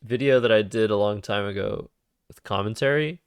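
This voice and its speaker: A man streaming a word game who thinks aloud about his moves in a calm, soothing, soft-spoken way, recorded on a cheap microphone. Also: fairly low pitch, normal speed, no room sound, studio quality. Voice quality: vocal fry. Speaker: Scrabble streamer. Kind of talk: live-streamed game commentary.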